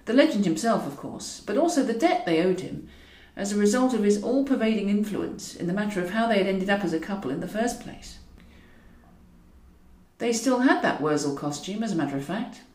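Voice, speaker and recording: A woman's voice: mid-range voice, animated, brisk, darkish tone. A woman reads scripted fiction as a narrator, with a wry, wordy storytelling manner. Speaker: author reading own audiobook. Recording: roomy echo, distant from mic.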